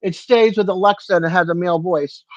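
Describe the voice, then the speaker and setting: An older woman hosting a casual livestream chat from home, rambling and halting with lots of fillers and restarts. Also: lowish voice, a little mellow, dry recording. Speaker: livestream host. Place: home room with a fan. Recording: dull lo-fi stream audio.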